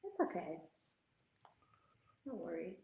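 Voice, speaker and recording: A woman's voice: fairly low pitch, sing-song, slow pace, gravelly, warm tone, breathy edge. Giggly woman in casual chat. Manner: giggly, often laughing. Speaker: live stream panel guest.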